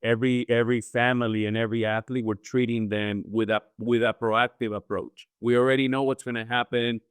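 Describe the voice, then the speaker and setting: A man's voice: medium pitch, little pitch movement, fairly quick, clean tone, no room sound. A man from Chile speaking as a financial planner guest, explaining things conversationally and often checking in with the listener. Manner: explanatory, often seeks agreement with "right?".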